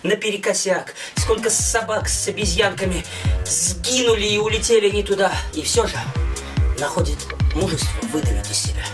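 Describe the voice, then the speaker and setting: A middle-aged man performs a scripted story with dramatic emphasis. His delivery is theatrical, set slightly away from the mic with music clearly behind it. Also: high voice, lively intonation, moderate pace, far from the mic. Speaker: author narrating own story.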